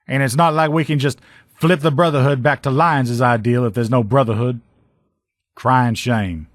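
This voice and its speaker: A man's voice: mid-range voice, no echo, studio quality, close to an everyday voice. A man voicing a loyal, salty lieutenant and armor-loving ex-soldier in a video game, talking in a casual, folksy, plain-spoken way. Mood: bitter regret. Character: gruff, loyal post-apocalyptic sheriff's lieutenant, power-armor-loving ex-soldier. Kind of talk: casual, conversational game dialogue.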